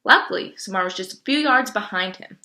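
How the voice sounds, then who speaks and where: Bright-voiced woman reading a story aloud. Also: mid-range voice, animated, brisk. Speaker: reader commenting on the book. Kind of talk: read-aloud storytelling with casual commentary.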